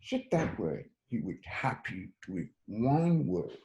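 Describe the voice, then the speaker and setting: A man acting a gentle, reserved bus driver in a screenplay table read, with an understated, halting delivery. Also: fairly low pitch, big pitch swings, highs cut off, heavily acted. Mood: quiet, reflective.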